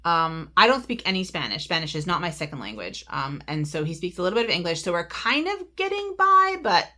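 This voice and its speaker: A woman's voice: fairly low pitch, very animated, a little fast, sharp tone. A woman vlogger chatting casually as she tells a travel story, full of fillers and asides. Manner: extroverted. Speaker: crafting vlogger.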